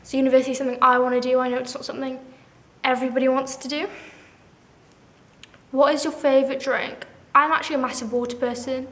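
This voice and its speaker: A woman's voice: high voice, flat delivery, fast, long pauses, fairly bright tone, roomy sound. A seventeen-year-old girl chatting casually through a question-and-answer video, bubbly and full of "like", laughing at herself. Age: 17, teens. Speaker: vlogger. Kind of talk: casual Q&A chat to camera.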